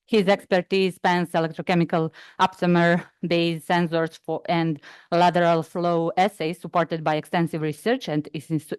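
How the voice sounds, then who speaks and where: A woman's voice: fairly low pitch, clean tone, no echo. A woman moderating a workshop, formally introducing the next speaker from prepared notes with a few hesitations.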